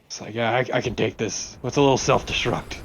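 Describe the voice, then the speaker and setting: A man's voice: mid-range voice, brisk, slight background noise. A man giving casual, joking and sweary commentary on a video game. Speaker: let's play commentator.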